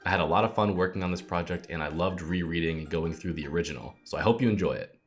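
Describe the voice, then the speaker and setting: A man with a very deep voice talks about his writing process. He sounds reflective and keen, with light music playing behind him. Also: fast talker. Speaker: author of a retold children's book. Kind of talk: behind-the-scenes talk about own work.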